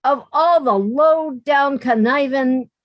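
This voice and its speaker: A woman's voice: sits high, no room sound, highs cut off. Woman playing a wisecracking sensible friend. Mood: dry. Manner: dry, sardonic, quick. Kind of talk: radio comedy acting.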